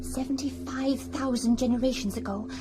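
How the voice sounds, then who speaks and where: A woman's voice: high voice, fairly even intonation, normal speed, music in the background, lightly acted. A woman playing an awed, earnest attendant of a great computer in a radio comedy. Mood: reverent. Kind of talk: radio comedy drama acting.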